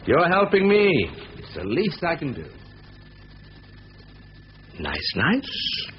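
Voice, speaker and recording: An actor playing a cool, sly, confident bank thief with playful patter in a 1970s radio drama. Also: male voice, fairly high pitch, huge pitch swings, slow pace, exaggerated voice. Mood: gloating. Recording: muffled, hissy old radio broadcast recording.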